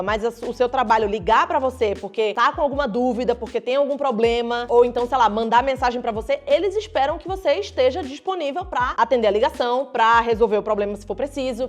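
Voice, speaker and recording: Chatty Brazilian woman vlogging. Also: high-pitched. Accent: Brazilian Portuguese, partly shifted toward European Portuguese. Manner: chatty, frequent "né" and restarts, emphatic rises. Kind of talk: casual talk to camera.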